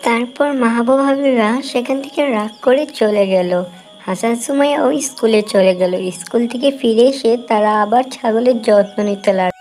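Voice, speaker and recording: A woman reading scripted narration for an animated children's moral story. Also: a little high, normal speed, soft background music, close to an everyday voice. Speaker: narrator and character voice.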